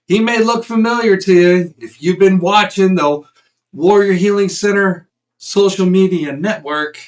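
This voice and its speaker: A man's voice: sits high, measured pace. A man with a Chicago accent hosting a casual interview, upbeat and joking, laughing easily. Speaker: show host.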